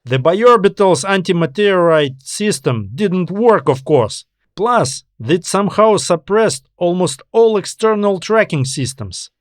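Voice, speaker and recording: A confident male space-station officer , acted by a male narrator, gives his report in a science fiction audiobook. His voice is raised in pitch and emphatic, explaining with self-assurance. The sound is dry. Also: expressive, unhurried, no echo. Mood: grim, emphatic. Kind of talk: audiobook character dialogue.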